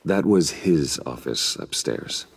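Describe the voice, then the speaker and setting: A stern, guarded game hero, with an extremely low, husky voice. He is terse and controlled, sounding in a space with long reverb. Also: lively intonation, slow, heavily acted. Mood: focused. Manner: terse, restrained. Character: stern, guarded heroic man, controlled and resolute. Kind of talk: dramatic video game acting.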